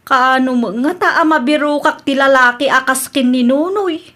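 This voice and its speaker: A woman's voice: sits high, moderate pace, a bit bright. A Filipino-accented woman playing a teasing, exclamatory unmarried daughter in a comedic radio drama. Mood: probing. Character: teasing unmarried daughter chatting with her father.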